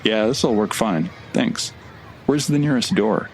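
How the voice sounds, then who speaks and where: A man's voice: on the low side, lively intonation, a little slow, a little hiss or hum, low-quality recording. An actor playing a blunt, sardonic male traveler in an audio drama, talking in a casual way. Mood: wry, resigned.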